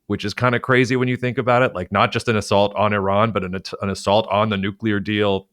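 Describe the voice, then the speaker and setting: Deep-voiced man, casual podcast talk. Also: quick pace, clear, resonant tone, no echo, clean studio sound. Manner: talkative, many fillers and restarts, animated when quoting. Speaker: podcast co-host. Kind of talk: casual current-affairs discussion.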